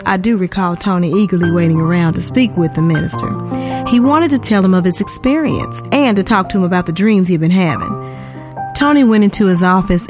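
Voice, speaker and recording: An actress playing a devout churchgoing aunt who also narrates the story. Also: female voice, medium pitch, natural voice. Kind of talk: audio drama narration.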